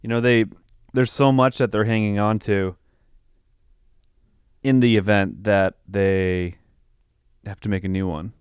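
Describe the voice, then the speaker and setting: Male podcast co-host, clear low voice, loose conversational film talk, tends to trail off and rephrase. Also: steady tone, unhurried, long pauses, no echo, studio quality. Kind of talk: casual film review chat.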